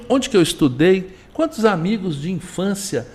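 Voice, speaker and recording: A middle-aged Brazilian man with a rather high voice that rises and falls a lot. He talks casually as a guest, wandering through anecdotes and restarting his sentences. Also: unhurried. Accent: Brazilian Portuguese. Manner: animated, rambling anecdotes with many restarts. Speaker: guest, business owner. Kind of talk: casual conversation, storytelling.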